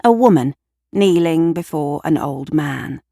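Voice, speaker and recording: A woman narrating a scripted audio drama in a measured, deliberate storytelling manner. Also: deep voice, animated, creaky, warm tone, dry recording, clean studio sound. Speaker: writer and narrator.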